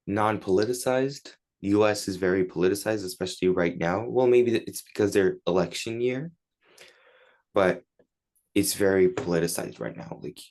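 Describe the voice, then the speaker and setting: A man's voice: deep voice, a little mellow. A teenage man from Turkey, answering interview questions in casual, chatty English. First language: Turkish. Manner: chatty, many likes and you-knows. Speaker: guest.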